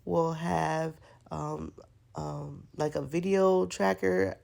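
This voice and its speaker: A young woman talks unhurriedly in a lowish, gravelly voice, stuffed up and congested. She rambles casually with plenty of fillers, like a chatty planner vlogger. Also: steady tone, takes it slowly, a little mellow. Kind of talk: casual voice-over chat.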